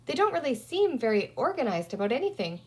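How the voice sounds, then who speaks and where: A woman's voice: high voice, very animated, fast talker, a little mellow, husky, voice pushed up, full character voice. A woman voicing a bossy, resourceful young girl in a children's story, talking emphatically as she reasons aloud. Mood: impatient. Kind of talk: children's storybook character reading.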